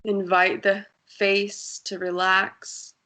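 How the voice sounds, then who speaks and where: A woman guiding a breathing meditation in a soft, soothing voice with long pauses. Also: mid-range voice, level tone. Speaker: meditation guide.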